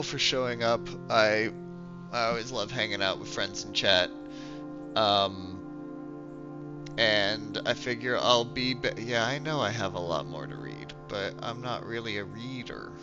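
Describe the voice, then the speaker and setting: A congested man who is losing his voice, chatting casually and self-mockingly as he streams a game. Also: a little slow. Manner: low-key, rambling, self-mocking. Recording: dull lo-fi sound with music behind. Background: music.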